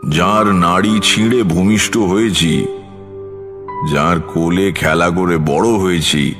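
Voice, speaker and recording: A man with a low-pitched voice narrates a horror story in the first person. His delivery is slow, measured and literary, set over clearly heard music.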